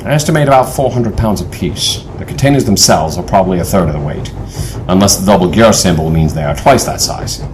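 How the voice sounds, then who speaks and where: An actor playing a decisive, steady team leader in an audio adventure drama, measured and formal. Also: male voice, low voice, background noise. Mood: firm, planning.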